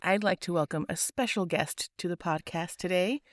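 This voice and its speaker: Hoarse woman hosting a podcast. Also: fairly low pitch, moderate pace, over loud music. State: raspy voice. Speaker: podcast host. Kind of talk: scripted podcast intro and interview.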